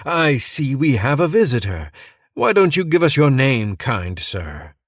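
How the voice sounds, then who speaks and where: A man's voice: mid-range voice, very animated, a little slow, no room sound, studio quality, voice pushed up. A man doing a manic, gleeful cult preacher. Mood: sly. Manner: manic, cackling, mocking sing-song. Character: unhinged male cult preacher, gleeful and menacing.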